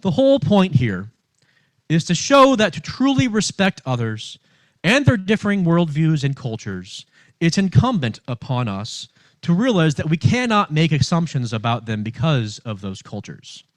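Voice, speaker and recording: A man speaks as a minister delivering a prepared sermon. His voice sits a little high for a male speaker, and the sound is dry, with very little room. He moves phrase by phrase, leaning on important words. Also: big pitch swings, moderate pace, no echo, rough recording. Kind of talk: sermon read from notes, with long quotations.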